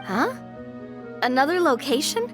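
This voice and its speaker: A woman voicing a bubbly, expressive young woman in an anime-style game, an enthusiastic and dramatic friend. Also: sits high, measured pace, music in the background. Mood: curious, teasing. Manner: expressive, exclamatory.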